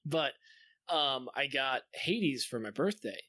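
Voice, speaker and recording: A man's voice: medium pitch, animated, slow, sharp tone, no room sound. A man co-hosting a podcast, chatting casually and enthusiastically about games, with some swearing. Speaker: podcast co-host. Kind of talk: casual gaming chat.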